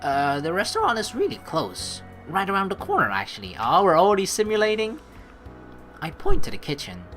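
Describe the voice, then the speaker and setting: A woman's voice: on the low side, huge pitch swings, fairly quick, a bit bright. A woman let's player reading game text aloud expressively, mixed with casual commentary. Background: game music.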